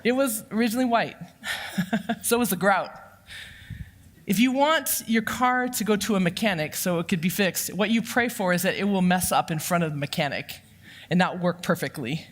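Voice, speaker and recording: A woman in her fifties with a loud voice, giving a lively, humorous sermon full of personal stories. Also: medium pitch, normal speed. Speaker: church counseling director giving the sermon. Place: church sanctuary. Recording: long reverb of a church hall.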